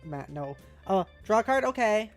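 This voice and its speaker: A woman streamer chatting casually with viewers, giggly and halting as she thinks aloud and reads out messages. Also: mid-range voice, lively intonation. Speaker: streamer, host. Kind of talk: casual livestream chat, reading out viewer messages. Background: music.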